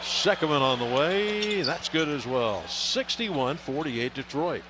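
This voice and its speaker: A man's voice: on the high side, big pitch swings, unhurried. An American man working as a radio announcer, giving live basketball play-by-play in short, clipped phrases. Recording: loud arena noise behind. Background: arena ambience, bouncing basketball.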